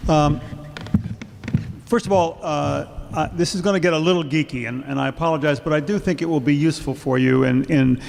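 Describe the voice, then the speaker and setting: Older man with a gravelly voice in a hall. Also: a little high, a little fast, a little hiss or hum. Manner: explanatory, emphatic, loud voice. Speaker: housing expert giving a talk. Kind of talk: lecture to a public audience. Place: public hall. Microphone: handheld microphone. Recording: reverberant hall.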